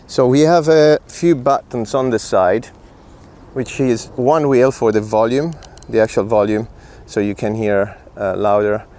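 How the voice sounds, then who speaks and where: A man's voice: medium pitch, steady tone, unhurried, darkish tone. A man hosting a casual gear review, talking informally and directly to viewers. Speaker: host reviewing film gear. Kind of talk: casual product review chat.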